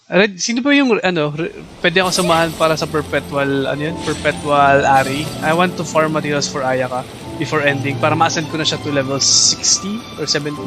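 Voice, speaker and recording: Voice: medium pitch, fast talker. Filipino-accented streamer over game music. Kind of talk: casual livestream gameplay commentary. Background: video game music.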